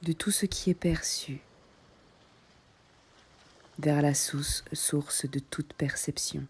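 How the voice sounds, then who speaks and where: A woman guiding a meditation in soft, breathy short phrases with long pauses, often close to a whisper. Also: deep voice, fairly even intonation. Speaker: yoga nidra meditation guide. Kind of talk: guided meditation.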